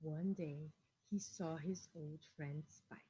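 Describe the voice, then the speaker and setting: A woman's voice: low voice, steady tone, slow, dark tone, slightly rough, rough recording. A woman reading a rhyming picture book aloud expressively, speaking directly to young children. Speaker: children's story reader.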